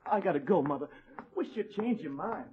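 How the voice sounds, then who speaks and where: An actor playing an eager, earnest eighteen-year-old son in an old-time radio drama. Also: male voice, on the high side, animated, a little fast, noisy background, lightly acted. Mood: pleading. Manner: earnest, pleading. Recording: muffled old radio recording.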